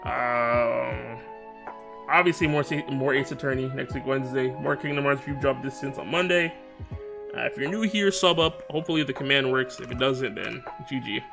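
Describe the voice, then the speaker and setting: Gravelly-voiced streamer with a sore throat. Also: male voice, medium pitch, normal speed, music in the background. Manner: loose, slangy, jokey sign-off chatter. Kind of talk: casual livestream chat.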